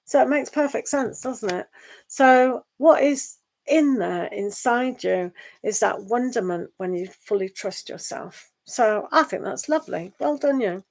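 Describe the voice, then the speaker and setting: A woman giving a live online tarot reading and losing her voice. She talks in a meandering, gentle way and reads the card texts aloud. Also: a little high, expressive, a little slow, vocal fry. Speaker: tarot reader.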